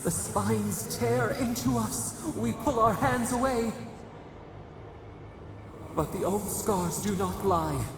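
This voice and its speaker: An actor voicing an ancient, grieving spirit in a video game, speaking as we in cryptic, halting, solemn phrases with a gravelly voice. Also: mid-range voice, background noise. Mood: pained. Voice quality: gravelly, creaking. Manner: slow, cryptic, incantatory, halting short phrases. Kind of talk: solemn dramatic game voice acting. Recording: heavily reverberant echoing voice, music behind.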